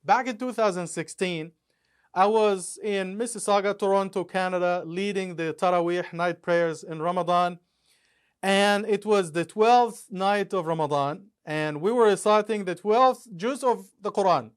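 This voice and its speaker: A man's voice: sits high, measured pace, smooth. A man, an author and imam, explaining his Quran dictionary and how it was made, step by step with examples. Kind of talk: explanatory talk about a book.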